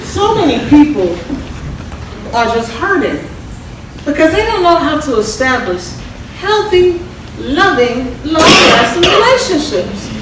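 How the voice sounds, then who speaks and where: Confident female counselor for a stage play, played by a woman: high-pitched, instructive, emphatic, heard from afar in a reverberant theater. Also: big pitch swings, measured pace. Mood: exasperated, weary. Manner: emphatic, instructive, repeats commands. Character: confident, preachy female relationship counselor with a self-help book. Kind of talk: live stage-play acting. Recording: echoey theater hall, distant mic, noisy.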